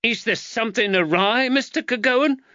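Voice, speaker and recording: A man voicing an angry, shrill, middle-aged London mother who scolds and shrieks. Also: normal speed, sharp tone, smooth, dry recording, dull audio. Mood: indignant.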